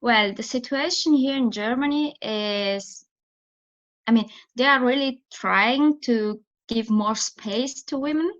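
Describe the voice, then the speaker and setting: Italian woman, theatre director as interview guest, fairly high voice, halting English, dull video-call sound. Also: a bit bright. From Italy. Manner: searching for words, frequent restarts, thoughtful. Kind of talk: interview answers on a remote call.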